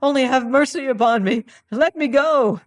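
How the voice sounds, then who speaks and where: A reader voicing a terrified guilty male clerk who pleads in a frantic, high-strung way. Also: moderate pace, close to an everyday voice. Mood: begging.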